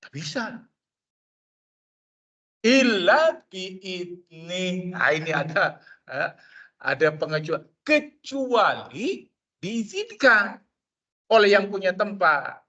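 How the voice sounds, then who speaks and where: A man with an Indonesian accent giving a mosque study lecture, expressive and acting out the people he quotes. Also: sits high, slow pace, pauses often. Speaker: preacher.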